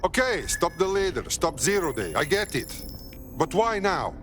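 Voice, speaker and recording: An actor playing a brusque, confrontational resistance operative in a video game cutscene, blunt and impatient. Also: male voice, high-pitched, big pitch swings, moderate pace, fairly bright tone. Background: music.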